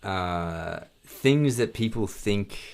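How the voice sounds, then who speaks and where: A man's voice: lowish voice, animated, very slow, gravelly, smooth. A man chatting informally on a podcast, explaining his thoughts tentatively and in fragments. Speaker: podcast speaker. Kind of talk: casual chat.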